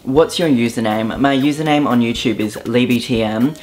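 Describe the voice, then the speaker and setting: A young man from Southeast Queensland with an Australian accent, talking casually to camera with an offhand, relaxed manner and frequent ums. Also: mid-range voice, moderate pace, soft background music. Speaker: vlogger. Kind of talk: casual vlog monologue.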